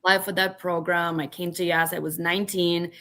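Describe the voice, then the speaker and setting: A woman's voice: fairly low pitch, level tone, a little fast, pushed and bright. A woman in her early forties from Ukraine, with a Ukrainian accent, casually telling her own story as a podcast guest and acting out remembered remarks as she goes. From western Ukraine. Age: early 40s.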